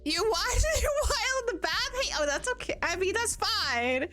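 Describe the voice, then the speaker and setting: A woman's voice: very high-pitched, sing-song, moderate pace, pushed and bright, faint music behind. A woman streaming a game, chatting casually with her viewers in a bubbly, giggly, self-deprecating way, sounding tired.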